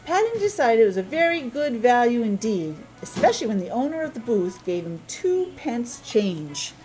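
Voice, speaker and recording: A woman reads a children's story aloud with very animated pitch, swinging up and down as she goes. She often drops into casual, chatty asides to explain things or ask the young listeners questions. Music plays along with her voice. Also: moderate pace, rough recording. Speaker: teacher reading a picture book aloud to children.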